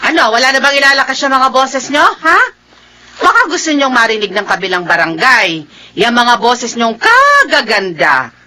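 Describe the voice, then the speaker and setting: A woman's voice: a little high, very animated, moderate pace, bright, forceful tone. A woman with a Filipino accent playing a sarcastic, scolding middle-aged mother in a radio drama.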